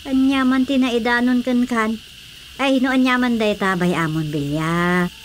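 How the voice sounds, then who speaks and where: An actress plays a village aunt getting on in years, full of exclamations and placating advice. The voice is on the high side with an Ilocano accent, acted for radio drama, with slight background noise. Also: female voice, normal speed, lightly acted. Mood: evasive, placating. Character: Nana Suping, older gossipy village aunt, exasperated peacemaker.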